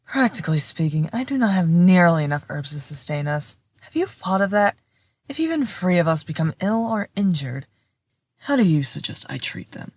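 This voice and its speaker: A woman's voice: fairly low pitch, sing-song, moderate pace, a little mellow, husky, no room sound. A woman playing a blunt, stubborn, practical pack healer in a fantasy audio drama, with pointed, argumentative phrasing. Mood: skeptical.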